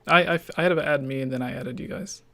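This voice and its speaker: A man hosting a podcast over an online call, casual and a bit rushed as he sorts out the setup. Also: mid-range voice, gravelly, bright, forceful tone. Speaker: podcast host.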